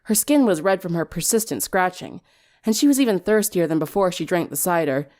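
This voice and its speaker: A woman's voice: lowish voice, animated, fairly quick, darkish tone. A woman reading fan fiction aloud as dramatic story narration.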